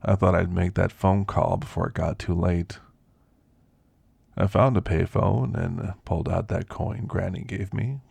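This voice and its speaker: Elderly werewolf , male actor, reflective ASMR storyteller: husky, weathered rasp, unhurried folksy delivery, close and dry recording. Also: low-pitched, fairly even intonation, a little mellow, no echo, heavily acted. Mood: wistful, reflective. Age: older. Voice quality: gravelly, husky. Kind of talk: ASMR roleplay monologue.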